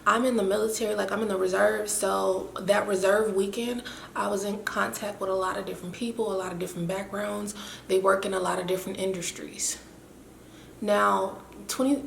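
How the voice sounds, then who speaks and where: A young woman with a raspy, winded voice, chatting in a rambling, self-mocking way to her camera. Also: mid-range voice, fairly bright tone. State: winded and hoarse after illness. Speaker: vlogger. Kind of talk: casual chat to camera. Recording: echoey room with faint hiss.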